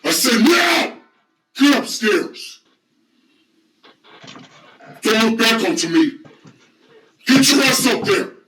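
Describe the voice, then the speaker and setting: A man's voice: extremely high pitch, big pitch swings, slow pace, long pauses, bright, forceful tone, distant mic, voice pushed up, full character voice. A man playing an abusive staff member who barks orders and hurls insults, in an amateur drama. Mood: furious. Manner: barking orders, hurling insults. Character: abusive male institution staffer, bullying and cruel. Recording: echoey room, voice from afar.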